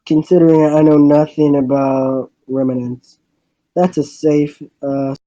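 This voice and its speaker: Halting, brighter-pitched man reading, softly mellow tone. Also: flat delivery, highs cut off. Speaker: reader voicing the protagonist of a fan visual novel. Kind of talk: amateur dramatic reading of first-person narration and dialogue.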